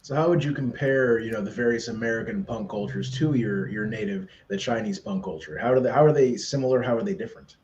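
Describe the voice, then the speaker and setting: A man interviewing a film director over a video call, asking relaxed, conversational questions. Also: on the low side, fast talker, few pauses, roomy sound, muffled recording, a little hiss or hum. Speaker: festival interviewer. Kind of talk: remote interview.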